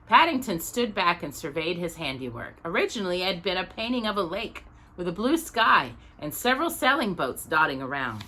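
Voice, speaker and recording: A woman's voice: lowish voice, very animated, fairly quick, pushed and bright. A woman storytime host narrating a children's book expressively, with dramatic, emphatic phrasing. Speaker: storytime host reading aloud.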